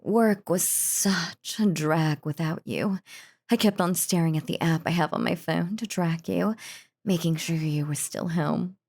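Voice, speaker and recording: A woman acts out a possessive yandere wife in an intimate audio roleplay. Her voice sits a little low for a woman and crackles with fry. She dotes and gushes over her husband, sweetly menacing and cheerfully unhinged. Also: unhurried, darkish tone. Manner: doting, gushing, cheerfully unhinged. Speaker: voice actor.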